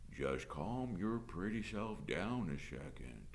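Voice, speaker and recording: A male narrator plays a drunken small-town sheriff from a storybook. He speaks low in a slow drawl, smug and leering with quiet menace. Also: big pitch swings, heavily acted. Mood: lazy, dismissive.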